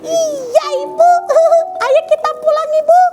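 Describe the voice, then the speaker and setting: A woman voicing a brave, excitable little boy rabbit with eager, childlike exclamations in a children's story. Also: very high-pitched, takes it slowly, loud music behind the voice, pitched above the performer's own voice. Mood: excited, proud.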